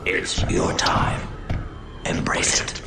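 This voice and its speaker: A man playing a menacing, grandiose digital villain in a video game, slow, ominous and deliberate. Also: close to an everyday voice. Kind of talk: dramatic game cutscene acting. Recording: echoey, loud music behind.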